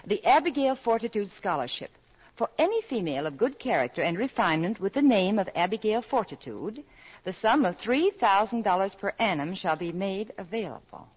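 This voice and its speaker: A woman's voice: medium pitch, expressive, a little fast, husky. A poised middle-aged widow from a 1940s radio comedy, genteel and coaxing. Her intonation swings up and down with fluttery ease. The sound is the muffled one of a vintage broadcast. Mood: calm, confiding. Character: poised, scheming widowed mother of a college girl.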